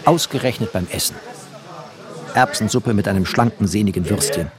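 A man's voice: lowish voice, normal speed, crowd noise, lightly acted. An actor playing a bookish, sardonic resettler who narrates his journey in a radio play with wry, clipped, vivid phrasing. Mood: wry recollection. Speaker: narrator and protagonist.

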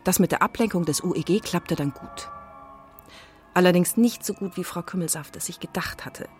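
A woman's voice: fairly low pitch, moderate pace. A woman narrating a children's radio play in a scripted storytelling style. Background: music.

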